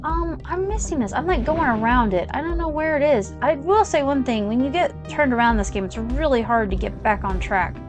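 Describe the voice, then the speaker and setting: Young woman, casual gaming chatter, rambling, slightly high pitch, loud game music behind. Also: lively intonation, fairly quick, few pauses. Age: young adult. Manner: rambling, chatty, lots of "like" and trailing asides. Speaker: gaming channel host. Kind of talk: casual chat while playing.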